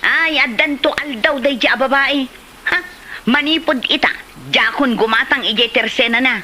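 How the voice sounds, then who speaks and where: A woman's voice: high-pitched, moderate pace, pushed and bright, a little hiss or hum. A woman with an Ilocano accent playing a touchy, haggling village housewife who complains indignantly in a comedy drama.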